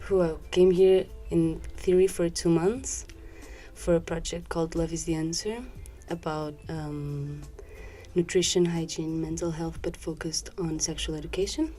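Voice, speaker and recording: Young woman from portugal, low mellow voice, chatty, laughs a lot. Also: measured pace, soft background music. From Brazil, raised in Portugal. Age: 20s. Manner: laughs often, relaxed and chatty. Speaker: guest, former volunteer. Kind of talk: casual interview chat.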